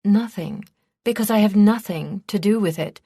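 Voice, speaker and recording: A woman voicing a sharp, scheming young princess in an audiobook, haughty, emphatic and defiant. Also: medium pitch, expressive, measured pace, dry recording, studio quality, pitched above the performer's own voice. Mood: protesting.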